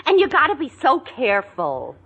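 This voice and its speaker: A woman's voice: high-pitched, huge pitch swings, normal speed, fairly bright tone, slightly rough, lo-fi sound, heavily acted. A woman playing a bossy, cynical, streetwise girlfriend with slangy, pushy talk in a 1940s radio comedy. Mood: cautioning, wisecracking. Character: brassy, bossy, cynical wisecracking city girlfriend.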